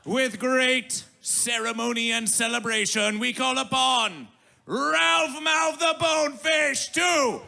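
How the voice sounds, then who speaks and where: Gravelly guy hyping a music fest, super piercing bright voice, slow pompous chanting, repeats himself, music playing behind. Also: very high voice. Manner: bombastic, mock-ceremonial, repeats phrases. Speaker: festival master of ceremonies. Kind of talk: theatrical chanted stage announcements. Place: live music festival stage.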